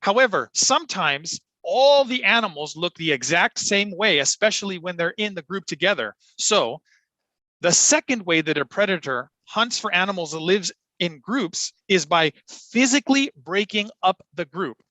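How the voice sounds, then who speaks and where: A man coaching exam speaking on a livestream, encouraging and clear as he models answers and thinks aloud. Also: high-pitched, lively intonation, bright, forceful tone, no echo, poor audio. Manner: upbeat and encouraging, clear deliberate delivery.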